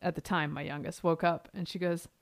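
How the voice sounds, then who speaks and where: A woman co-hosting a podcast in casual chat, a chatty, self-deprecating storyteller with a deeper register voice. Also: fairly even intonation, brisk. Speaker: podcast co-host.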